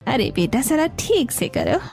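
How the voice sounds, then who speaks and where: A woman's voice: sits high, wildly swooping pitch, unhurried, creaky, a little mellow, full character voice. A woman voicing a demanding, scolding elderly female houseguest in a cartoon story, bossy and full of complaints. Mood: demanding, complaining.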